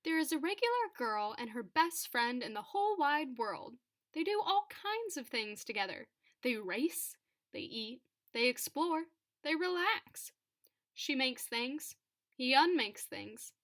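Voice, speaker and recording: A woman reading a children's picture book aloud for storytime, phrasing it deliberately and expressively with dramatic emphasis. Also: high-pitched, big pitch swings, a bit bright. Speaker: storybook reader.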